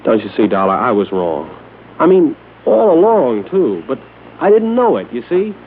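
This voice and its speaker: A man's voice: medium pitch, wildly swooping pitch, moderate pace. An actor playing a shifty, nervous male crook who stammers and pleads in slangy speech in an old radio crime drama. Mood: pleading. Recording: muffled, slightly noisy old radio recording.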